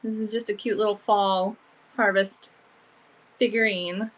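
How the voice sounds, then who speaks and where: A woman with stuffy allergies talks loosely and chattily as she hosts a live vintage sale from home. Her voice sits at an ordinary mid pitch, and her sentences ramble along, filled with fillers. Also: steady tone, a little slow, low-quality recording. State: sniffly from allergies. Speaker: online vintage seller. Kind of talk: casual live-sale chat.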